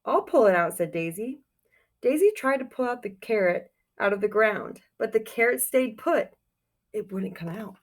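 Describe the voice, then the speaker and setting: A woman reading a picture book aloud to young children like a teacher, expressive and encouraging, pausing to put questions to her listeners. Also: mid-range voice. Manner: expressive, encouraging, exclaims softly over pictures.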